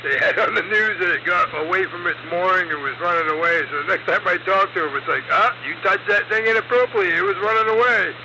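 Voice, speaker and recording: A man's voice: high voice, fast, few pauses, background noise. A man bantering casually and telling stories as a radio operator on a live stream, folksy and teasing.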